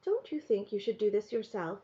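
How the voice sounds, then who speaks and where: Woman as a careworn, gently firm mother. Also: mid-range voice, sing-song, moderate pace, dull audio, close to an everyday voice. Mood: subdued.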